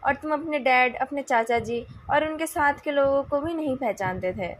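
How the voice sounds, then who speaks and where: An Indian-accented woman voicing a young male company president in a novel, calm, measured and coolly taunting. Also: sits high, natural voice. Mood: calm, cutting.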